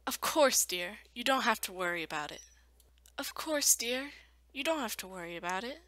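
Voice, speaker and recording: A woman voicing a composed, commanding royal spouse in a formal, measured manner for animation. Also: a little high, expressive, bright, forceful tone, dry recording. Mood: reassuring. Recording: poor cheap home microphone.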